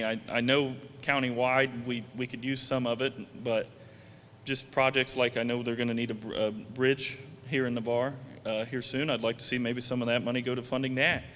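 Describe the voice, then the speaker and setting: A man's voice: level tone, a bit bright. Plain-spoken man in a hall. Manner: plain-spoken, halting with restarts and fillers. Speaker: county commission candidate. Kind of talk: candidate debate answers. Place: event hall, PA sound system. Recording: long hall reverb, faint rumble.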